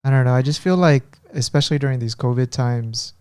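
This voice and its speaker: A man co-hosting a casual podcast, chatty and self-deprecating as he tells everyday stories. His voice sits mellow and relaxed, and the sound is close and dry with no room around it. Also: medium pitch, brisk, clear, resonant tone, dry recording. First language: Korean is his second language. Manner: chatty, self-deprecating, laughs at himself, acts out Korean phone calls. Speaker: podcast co-host.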